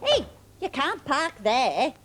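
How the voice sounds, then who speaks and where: A woman's voice: sits high, huge pitch swings, takes it slowly, a bit bright, slight background noise, full character voice. A woman acting a bubbly, easily thrilled, gushing host in a children's show. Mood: chirpy. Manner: exclamatory, gushing.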